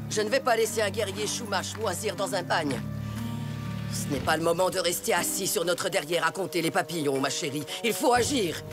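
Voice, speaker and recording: A woman's voice: lowish voice, lively intonation, moderate pace, natural voice. A feisty old cartoon grandmother, voiced by a woman. She speaks firmly and with resolve, and music plays behind her. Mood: determined. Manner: firm and resolute. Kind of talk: French cartoon voice acting. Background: background music.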